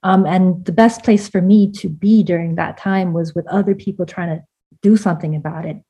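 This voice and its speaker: Mellow-voiced woman, candid and informal. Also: lowish voice, steady tone, moderate pace, husky. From United States, born in Vietnam. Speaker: interview guest, author. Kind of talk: casual, reflective conversation.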